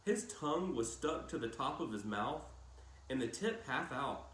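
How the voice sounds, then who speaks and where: A man reading a novel aloud as a narrator, with measured phrasing and dramatic pauses and emphasis. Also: mid-range voice, animated, a bit bright. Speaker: audiobook-style reader. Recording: roomy echo with clear background noise.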